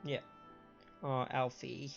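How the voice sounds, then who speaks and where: A man's voice: mid-range voice, little pitch movement, takes it slowly, creaky. A man giving casual live commentary as he streams a game. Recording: dull band-limited stream audio, music behind.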